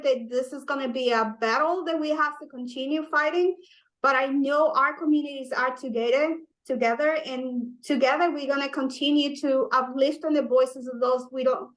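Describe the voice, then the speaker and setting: A woman's voice: high-pitched, a bit bright, poor audio. A woman community advocate speaking earnestly on a virtual press call. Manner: earnest, repetitive, rallying.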